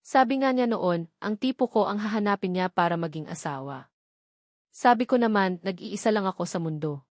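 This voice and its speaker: A woman with a Filipino accent narrates a first-person romance novel. Her voice sits at an ordinary pitch for a woman, and the sound is very dry, with little room around it. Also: expressive, normal speed, no room sound. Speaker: audiobook narrator.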